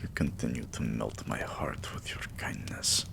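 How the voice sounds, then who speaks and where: A man playing an adoring, weary vampire king in a romantic ASMR roleplay, murmuring tenderly and intimately in a breathy, gravelly half-whisper. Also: rumbling low, moderate pace, heavily acted.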